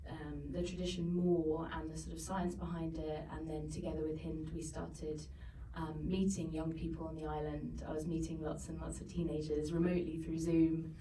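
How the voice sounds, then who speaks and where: A woman's voice: sits low, little pitch movement, normal speed, dark tone, a little hiss or hum. A British woman from the UK, a filmmaker answering questions at a screening Q&A, hesitant with many ums and restarts. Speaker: filmmaker on a panel. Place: venue hall. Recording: roomy hall, picked up from far off.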